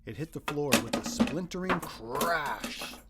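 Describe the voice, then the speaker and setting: A man reading a children's picture book aloud as narrator, in expressive scripted storytelling. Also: a little high, unhurried, heavy background noise.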